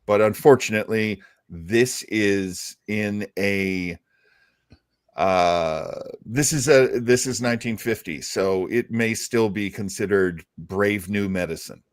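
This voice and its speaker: A man with a fairly low voice hosts a casual live show. His delivery is conversational and halting, and the sound is dry. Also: dry recording. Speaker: show host.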